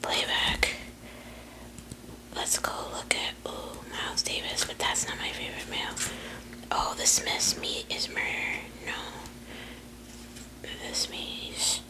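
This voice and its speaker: A woman's voice: unhurried, poor audio. A young woman whispering close to the mic, rambling, swearing freely, full of fillers. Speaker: vlogger. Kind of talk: whispered casual chat.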